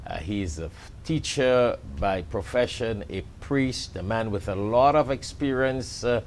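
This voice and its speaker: A man's voice: medium pitch, expressive, a little slow, slight background noise. A man with a Trinidadian accent hosting an interview in a television studio, speaking with fillers and restarts. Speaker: talk show host.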